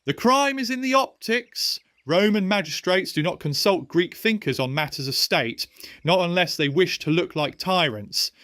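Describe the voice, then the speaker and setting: A man's voice: high-pitched, animated, moderate pace, bright, forceful tone, dry recording, clean studio sound. An actor in a historical audio drama playing an agitated, argumentative young Roman nobleman who speaks earnestly and urgently. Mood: accusing.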